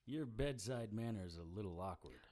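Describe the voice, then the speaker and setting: An actor playing a wry, hard-boiled supernatural investigator in an audio drama, with deadpan, sardonic noir delivery. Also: male voice, fairly low pitch, normal speed, lightly acted. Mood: teasing, dry.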